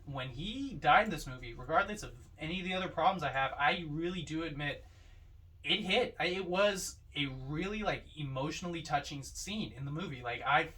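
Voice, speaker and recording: Higher-voiced man podcasting, distant from the mic. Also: animated, a little fast, bright, forceful tone. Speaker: podcast co-host. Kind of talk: casual film discussion.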